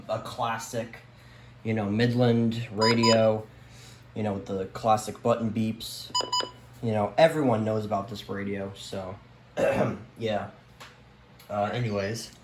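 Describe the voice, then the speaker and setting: Hesitant man in an echoey room. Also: mid-range voice. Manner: hesitant, rambling, trailing off. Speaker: radio collector presenting their collection. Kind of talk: casual unscripted show-and-tell. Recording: roomy echo, light background noise.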